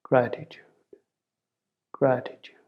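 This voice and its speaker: A man leading a spiritual guided meditation in a gentle, reverent way, pausing often, in a dull, muffled recording. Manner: gentle, reverent, measured with long pauses and repeated words.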